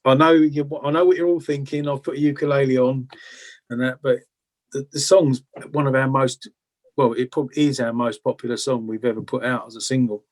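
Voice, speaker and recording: A man's voice: mid-range voice, little pitch movement, brisk. A British man chatting casually and informally as a guitarist being interviewed, often adding tag questions. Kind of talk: casual chat about music-making.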